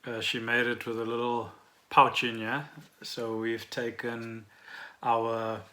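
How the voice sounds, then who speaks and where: A man co-hosting a casual podcast, speaking in a halting, unscripted way. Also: medium pitch, monotone, sharp tone. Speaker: podcast co-host. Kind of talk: casual conversational podcast chat.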